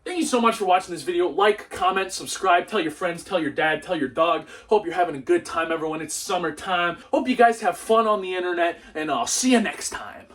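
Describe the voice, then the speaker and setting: A young man delivering a comedic scripted movie review in an animated, exclamatory, sarcastic way. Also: high voice, a little fast, hardly any pauses, distant mic. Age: young adult. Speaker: video host, movie reviewer.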